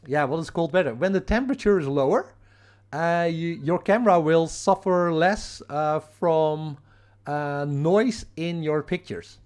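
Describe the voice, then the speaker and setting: A man with a strong Dutch accent explains astrophotography live on a stream, reading and answering viewer comments as they come in. His voice sits a bit higher than most men's, and he talks casually, with plenty of fillers and restarts. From Netherlands. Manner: halting, many fillers and restarts; reads and answers viewer chat. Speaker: astrophotography livestream host.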